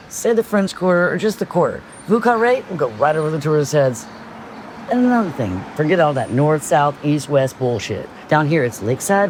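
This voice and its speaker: A woman's voice: deep voice, darkish tone, a little hiss or hum. An actress playing a brash, easygoing, reckless woman tour operator, bantering with sassy ease in an audio drama. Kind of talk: horror audio-drama dialogue.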